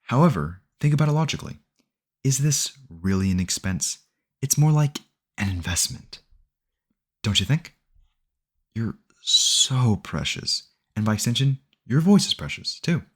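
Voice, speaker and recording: A man's voice: mid-range voice, big pitch swings, measured pace, a bit bright, husky, heavily acted. A man playing a sweetly possessive, obsessive boyfriend in an ASMR audio roleplay, rambling earnestly and intimately to the listener. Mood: doting, possessive. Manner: doting, rambling, earnest self-justifying, close and conversational.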